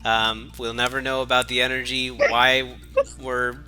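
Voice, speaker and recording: A man's voice: mid-range voice, level tone, measured pace, pushed and bright, faint music behind. A man doing a mocking dramatic reading with sweary, joking commentary and a mock-dramatic manner.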